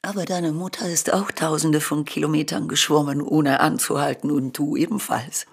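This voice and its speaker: An actress playing a tender, nervous, ailing mother in a radio drama, coaxing and affectionate in a soft, breathy voice. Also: low voice, steady tone, moderate pace, exaggerated voice. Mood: gently amused.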